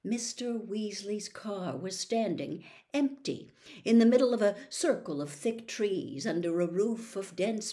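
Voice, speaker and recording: A woman narrating a storybook as its reader, with an expressive, suspenseful delivery. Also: on the high side. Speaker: audiobook reader.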